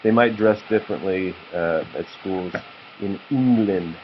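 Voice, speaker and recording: A man's voice: low-pitched, a little slow. A man reading a children's story aloud as a father to his child, with a patient manner. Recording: slightly muffled, faint background noise.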